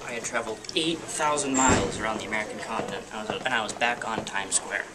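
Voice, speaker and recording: A man's voice: lowish voice, quick pace. Man reading aloud from far off. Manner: plain, unpolished reading with stumbles. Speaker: student reader. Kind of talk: amateur dramatic reading of a novel. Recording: distant mic with loud background noise.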